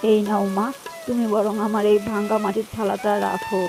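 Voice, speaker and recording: A woman voicing a kindly old village granny in a cartoon, affectionate and gently coaxing. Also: mid-range voice, level tone, a little fast, lightly acted. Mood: gently insistent. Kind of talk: cartoon character dialogue. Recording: music behind the voice.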